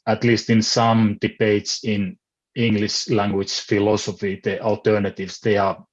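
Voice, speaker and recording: A man's voice: fairly low pitch, little pitch movement, unhurried. A man with a Finnish accent, a native Finnish speaker, talking on an online panel in a hesitant way, restarting often as he searches for words. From Finland. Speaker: philosopher on a panel. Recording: dull lo-fi call audio.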